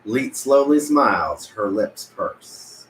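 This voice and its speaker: A man's voice: medium pitch, lively intonation, takes it slowly. A man reading stage directions as scripted narration at a film table read. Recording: roomy, echoey with room noise.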